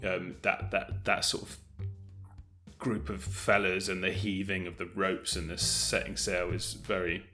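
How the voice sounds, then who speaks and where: A man's voice: low-pitched, flat delivery, a little slow, bright, forceful tone, faint music behind. A man from Bristol speaking as an interview guest, thoughtful and hesitant, often qualifying himself. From Bristol, England. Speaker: guest, audio drama director. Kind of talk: conversational interview talk.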